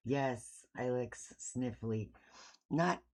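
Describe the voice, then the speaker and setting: A woman's voice: a bass voice, drawn-out words, darkish tone. A woman hosting a casual livestream, a bit sniffly from allergies, rambling informally with self-deprecating asides. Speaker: livestream host, art hobbyist.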